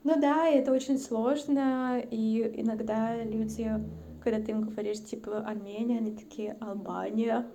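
Podcast guest: woman from the North Caucasus, gravelly, mellow low tone, reflective and chatty. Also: steady tone, unhurried. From North Caucasus, Russia. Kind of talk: casual chat.

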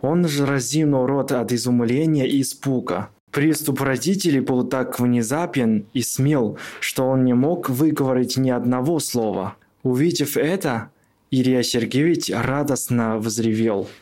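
A man's voice: mid-range voice, unhurried, darkish tone. A man who is a native Chinese speaker, reading scripted narration for a radio play.

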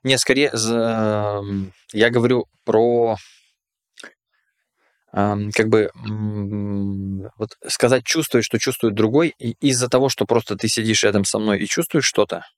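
A man's voice: lowish voice, steady tone, measured pace, no echo, studio quality. A man hosting a podcast in casual conversation, explaining ideas in a hesitant, reflective way with many fillers. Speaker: podcast host.

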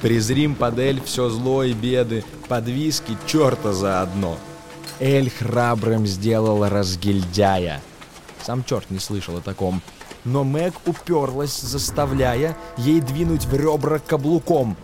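A man's voice: medium pitch, slow pace. Theatrical male storyteller over prominent music. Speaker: actor narrating a tale. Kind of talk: dramatic verse recitation for radio play.

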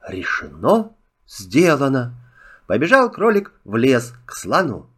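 A man narrating a children's fairy tale filmstrip in a measured, expressive storytelling manner. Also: mid-range voice, breathy edge.

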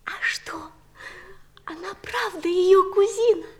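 An actress playing a curious, eager small child in a 1960s children's audio play. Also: female voice, extremely high pitch, takes it slowly.

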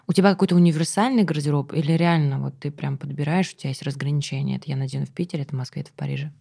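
A woman interviewing a guest in a relaxed chat, asking long, rambling questions. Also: deep voice, racing, creaky, breathy edge. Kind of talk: casual conversational interview.

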